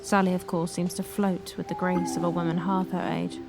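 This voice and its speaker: An actress playing an observant, wonder-struck city woman in an audio drama, describing things in a hushed way. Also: fairly low pitch, flat delivery, moderate pace, vocal fry. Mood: awed, hushed. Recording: music behind the voice.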